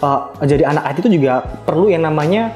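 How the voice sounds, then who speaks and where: A man's voice: on the high side, lively intonation, fast, a little mellow. A man hosting a casual, chatty interview, mixing in English loanwords. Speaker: podcast host. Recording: roomy echo, faint music behind.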